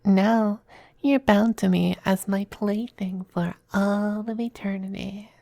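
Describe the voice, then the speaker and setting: A woman playing a possessive demon femboy in a close, intimate ASMR roleplay. The delivery is unhurried and coy, with a creaky edge and a very dry, close sound. The demon teases smugly yet affectionately, often slipping toward a breathy whisper. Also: medium pitch, steady tone, warm tone, no room sound. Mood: mocking, possessive.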